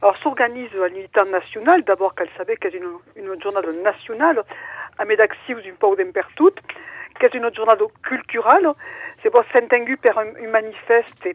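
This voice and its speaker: A woman's voice: a little high, fairly quick, breathy edge, no room sound. A woman, phoning in to a radio interview and explaining emphatically, often checking she is understood. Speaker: activist, phone-in guest. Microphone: telephone. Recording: tinny narrowband phone line.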